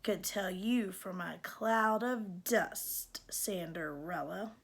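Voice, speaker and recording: A woman's voice: mid-range voice, slow pace, creaky, slight background noise, voice pushed down. A woman voicing a spiteful young stepsister in a storybook tale, sneering and taunting. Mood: mocking.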